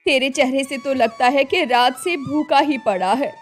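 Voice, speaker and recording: A woman voicing a poor, worried and loving old village mother who pleads slowly and tearfully. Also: sits high, soft background music.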